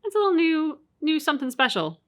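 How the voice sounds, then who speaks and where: A woman's voice: high voice, sing-song, quick pace. A woman casually talking through her reactions to a game as a reaction show host.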